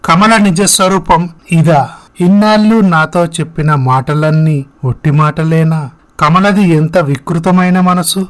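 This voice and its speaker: Man playing an earnest, lovelorn young man, higher-pitched. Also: steady tone, unhurried, natural voice. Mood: hurt. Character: earnest, lovelorn young male office worker. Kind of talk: scripted moral story dialogue.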